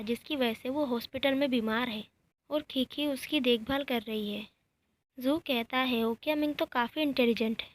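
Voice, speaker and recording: A woman with a slightly high voice tells the story of a romance drama episode scene by scene. She voices each character's lines in her own steady voice, without putting on different voices. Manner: reads every character's lines in her own voice, even and plain. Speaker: narrator recapping a drama episode. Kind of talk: storytelling recap narration.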